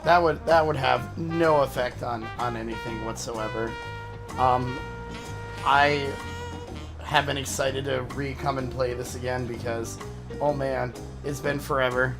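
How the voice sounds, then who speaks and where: A man streaming casually to his viewers, his voice a little raspy from allergies, talking in a relaxed, rambling way. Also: mid-range voice, unhurried. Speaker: VTuber streamer. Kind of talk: casual livestream chat. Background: game music.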